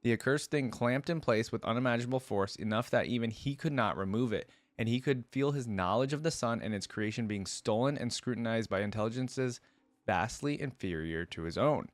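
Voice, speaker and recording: A man's voice: mid-range voice, brisk, no echo. A man hosting a reaction video, switching between casual, rambling commentary and reading text aloud. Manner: informal, rambling asides. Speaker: host of a game lore reaction channel.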